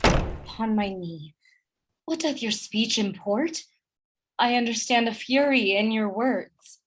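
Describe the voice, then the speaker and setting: An actress playing a loyal, innocent wife in a Shakespearean radio play, earnest, formal and sorrowful. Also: female voice, on the high side, expressive, slow. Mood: meek, uneasy. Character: loyal, innocent young wife, wounded and pleading.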